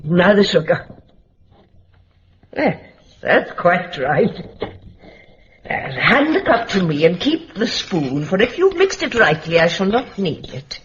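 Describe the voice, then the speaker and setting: A woman's voice: fairly low pitch, sing-song, takes it slowly, long pauses, a little hiss or hum. A woman playing an imperious, eccentric old spinster in a radio drama, speaking in a grand, precise, commanding way. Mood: bossy, precise. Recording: dull lo-fi radio drama recording.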